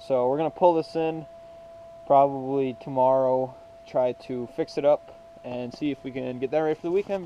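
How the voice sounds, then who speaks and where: A man's voice: mid-range voice, lo-fi sound, slight background noise. A young man talking casually to his action camera as a vlogger, in a garage, in the snow and in his truck, rambling with lots of "so" and "yeah.". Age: young adult. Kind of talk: casual vlog narration.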